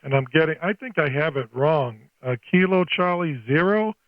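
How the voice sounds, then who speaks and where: A man's voice: on the high side, lively intonation, moderate pace, muffled recording. An older man chatting casually as an amateur radio operator, folksy and scattered, thinking aloud as he talks. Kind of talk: casual two-way radio chat.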